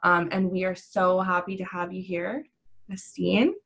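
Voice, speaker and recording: Lower-pitched woman co-hosting. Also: fairly even intonation, measured pace, gravelly, a bit bright. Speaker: podcast co-host. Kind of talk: conversational interview.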